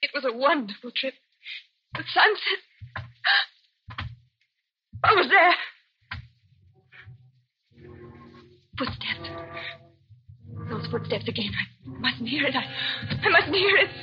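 An actress playing a terrified young woman haunted by dread, halting and repetitive in melodramatic 1940s radio suspense style. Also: high voice, sing-song. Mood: panicked, frantic. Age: 20s. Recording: dull old-time radio recording, faint music.

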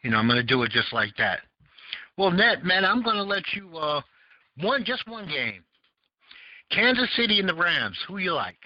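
A man's voice: high voice, very animated, normal speed, no room sound. Rambling Southern American man hosting a podcast. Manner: rambling, searching for words with many fillers. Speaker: podcast host. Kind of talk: casual call-in talk show chat. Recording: dull lo-fi audio.

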